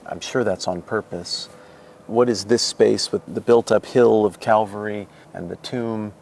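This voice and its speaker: A man's voice: on the low side, gravelly, a little mellow, slight background noise. A man hosting a show, asking curious, reflective questions while being shown around a monastery garden. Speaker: host interviewing a monk. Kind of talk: curious casual interview.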